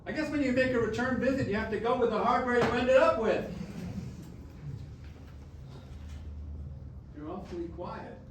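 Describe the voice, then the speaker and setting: A man acts out an old grandfather in a staged musical reading, wry and affectionate but evasive. His voice sits high for a man and hedges, doubling back on words. It is picked up from a distance in an echoing room. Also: fast talker, noisy background. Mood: bemused. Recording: roomy, distant stage recording with piano.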